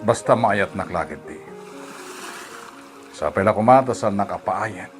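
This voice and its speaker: A man's voice: fairly low pitch, lively intonation, unhurried, faint music behind. A man with an Ilocano accent acting a smitten, persistent young suitor in a radio drama. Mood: tender, pleading.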